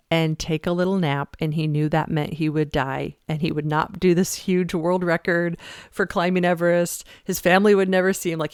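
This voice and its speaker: Woman, low voice, gravelly, chatty motivational podcast host, dry close sound. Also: a little mellow, no echo. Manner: chatty, laughs often, emphatic. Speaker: podcast host and fitness coach. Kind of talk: casual motivational talk.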